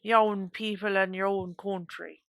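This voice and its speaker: A woman reading the lines of a sharp-tongued young woman who keeps pressing with pointed questions. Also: medium pitch, fairly even intonation, a little slow, dry recording, studio quality, close to an everyday voice.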